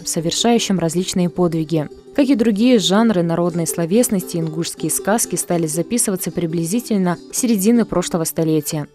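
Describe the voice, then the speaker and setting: A woman narrates a documentary in a very mellow, fairly low voice. Her reading is formal and measured, with faint music playing behind her. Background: faint background music.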